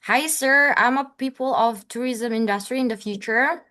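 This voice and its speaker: A woman's voice: fairly high pitch, normal speed, bright, forceful tone. Woman moderator reading questions aloud. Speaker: webinar moderator.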